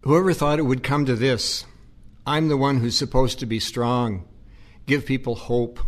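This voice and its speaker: A man acting as a gentle, weary priest who coaxes and cares for his mother in an audio play. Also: mid-range voice, fairly even intonation, measured pace, close to an everyday voice. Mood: anguished. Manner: coaxing, earnest.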